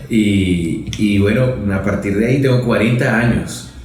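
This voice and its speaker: A man's voice: fairly low pitch, takes it slowly, a little mellow, very echoey, a little hiss or hum. A man in his fifties with a Venezuelan accent, a radio guest recounting at length with hesitations and fillers. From Venezuela, Caracas and Zulia. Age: 50s. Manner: hesitant, rambling, many fillers. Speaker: guest, lawmaker. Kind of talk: conversational interview. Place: radio studio.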